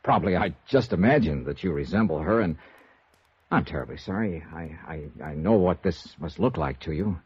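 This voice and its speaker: An actor playing a suave, wry, easily flustered investigator in 1950s radio noir style. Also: male voice, low voice, expressive, normal speed, husky, no room sound, exaggerated voice. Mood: embarrassed, apologetic. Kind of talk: 1950s radio noir acting with first-person narration. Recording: dull vintage radio recording with music behind.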